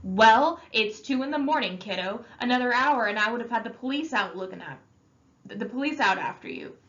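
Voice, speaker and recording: A stern older brother, voiced by a woman reading aloud, shouts and scolds in high, strained bursts. His temper runs harsh and short. The sound is a little muffled. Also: animated, a little fast. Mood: furious.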